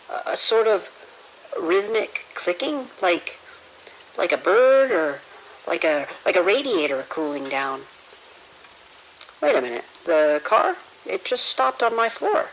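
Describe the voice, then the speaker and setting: A woman's voice: medium pitch, vocal fry, a little hiss or hum, heavily acted, poor audio. A woman playing a nervous security officer on night shift in an audio drama, speaking hesitantly with stammers. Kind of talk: immersive audio drama acting.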